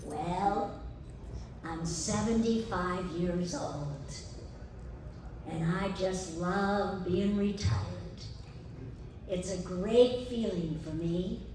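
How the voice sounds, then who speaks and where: A woman's voice: on the low side, extremely slow, pauses often, dark tone, distant mic, pitched below the performer's own voice. A woman voicing a cheerful, forgetful, very old woman in an echoey, noisy hall. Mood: contented. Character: cheerful, forgetful, ancient old woman who loves life.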